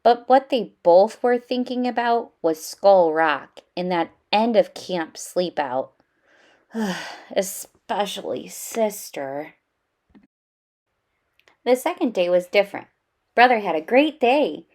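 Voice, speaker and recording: Woman reading a storybook, very lively pitch. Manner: unhurried, animated reading with emphasis. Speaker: children's read-aloud storyteller. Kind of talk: expressive storybook narration.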